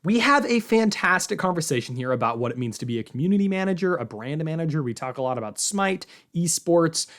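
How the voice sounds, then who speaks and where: A man in his early twenties hosting a podcast in a casual, informal, rambling way. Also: on the high side. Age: early 20s. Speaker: podcast host.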